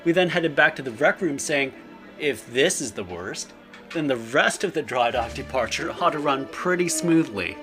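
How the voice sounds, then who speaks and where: A man's voice: on the high side, expressive, moderate pace, pushed and bright. A man playing a good-humored starship captain telling an anecdote in a sci-fi audio drama. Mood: wry storytelling. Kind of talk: audio drama acting, storytelling. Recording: music bed under the voice.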